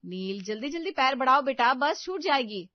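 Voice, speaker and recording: A woman voicing a hurried young mother in a cartoon, urgent and pleading. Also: high voice, expressive, no echo, pitched above the performer's own voice. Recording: dull, muffled audio.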